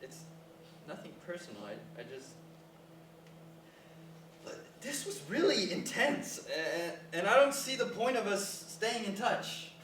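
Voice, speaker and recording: A man's voice: sits high, very animated, a little slow, a bit bright, full character voice. A man in a staged reading, playing a nervous, reluctant caregiver who stammers and sounds apologetic but sensible. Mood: uneasy, firm. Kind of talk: staged reading of a dramatic comedy. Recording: echoey room from far off, faint noise.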